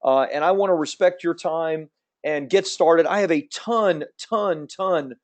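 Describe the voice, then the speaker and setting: A man in his fifties with a slight Southern American accent, hosting a promotional webinar and talking quickly in an upbeat, salesy way. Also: fairly high pitch. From Central Florida. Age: 50s. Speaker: webinar host. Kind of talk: fast promotional webinar presentation.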